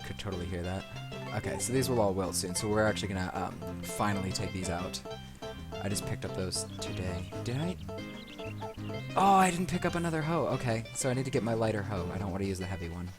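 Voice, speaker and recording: Low male voice, casual gaming host, rambling and self-deprecating, game music behind. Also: animated, quick pace. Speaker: let's play host. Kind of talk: casual let's play commentary. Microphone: desk microphone picking up the room.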